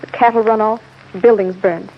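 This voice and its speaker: A woman's voice: mid-range voice, steady tone, brisk, close to an everyday voice. A woman playing a determined young frontier schoolteacher in a radio western, speaking in a firm, direct, poised way. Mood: earnest, grave. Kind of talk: old-time radio western acting. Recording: muffled, hissy old radio broadcast.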